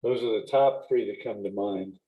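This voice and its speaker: Hesitant man over a tinny line. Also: on the low side. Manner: hesitant, lots of pauses and fillers. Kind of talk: casual meeting discussion.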